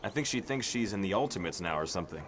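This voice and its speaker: An actor playing an earnest, protective young superhero leader in a motion comic, with vehicle noise behind him. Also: male voice, low-pitched, animated, quick pace. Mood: concerned. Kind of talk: dramatic motion comic voice acting.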